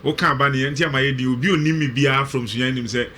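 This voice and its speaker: A Ghanaian man with a bright, forceful voice of ordinary depth, hosting a discussion. He puts questions and cuts in, moving between English and Twi, with some background noise around him. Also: medium pitch, steady tone, quick pace. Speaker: host.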